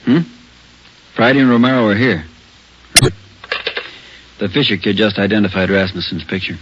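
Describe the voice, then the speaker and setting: An actor playing a brisk, plain-spoken police detective in a 1950s radio drama, informal and businesslike. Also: male voice, lowish voice, close to an everyday voice. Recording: dull vintage radio, faint noise.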